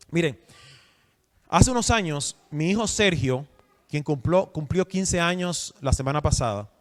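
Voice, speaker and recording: High-voiced preacher in a hall. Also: male voice. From Dominican Republic. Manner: asks the audience questions, emphatic. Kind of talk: sermon teaching. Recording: long hall reverb.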